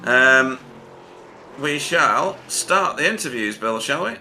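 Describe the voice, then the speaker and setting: A man working as a sim racing commentator, chatting casually and haltingly as he interviews drivers over online voice chat. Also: medium pitch, lively intonation, pushed and bright, a little hiss or hum, low-quality recording. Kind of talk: casual post-race interview.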